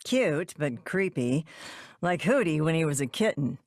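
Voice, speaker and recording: Cartoon witch, older woman: cocky, sardonic, flippant, close dry sound, lively swings. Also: deep voice, a little slow, no room sound. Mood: wry, flippant. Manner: wry, flippant, colloquial. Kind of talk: animated cartoon table read.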